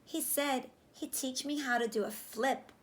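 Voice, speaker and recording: High-pitched, unhurried woman reading aloud. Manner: animated, questioning, slow and deliberate. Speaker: teacher reading a picture book aloud. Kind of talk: children's read-aloud with questions to the listeners. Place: small room indoors, on a couch. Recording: clear background room noise.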